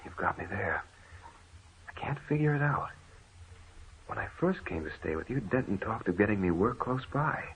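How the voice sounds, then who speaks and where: An actor playing an earnest, good-natured brother-in-law, plain-spoken, in 1930s radio drama style. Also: male voice, lowish voice, animated, moderate pace, long pauses, a bit bright. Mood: suspicious. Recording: tinny, crackly 1930s radio recording.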